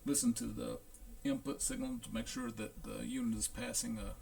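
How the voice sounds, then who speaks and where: A man talking through a hands-on equipment check as a repair technician, in a casual, unhurried, halting way, thinking aloud. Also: mid-range voice, wildly swooping pitch, creaky, a little mellow. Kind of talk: casual hands-on demonstration narration.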